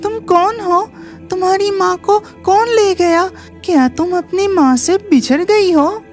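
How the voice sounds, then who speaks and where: A woman voicing a curious, kind-hearted young village boy in an animated story, innocent and questioning. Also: very high-pitched, unhurried, faint music behind. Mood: innocent concern. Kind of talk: animated horror story acting.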